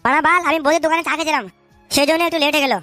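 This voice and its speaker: Performer as cartoon sidekick dog, high voice, racing delivery, crude, defensive, stammering bluster. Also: fairly even intonation, rapid-fire, pushed and bright, dry recording, soft background music. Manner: blustering, stammers when flustered, colloquial and foul-mouthed. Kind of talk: comic cartoon dub with street slang.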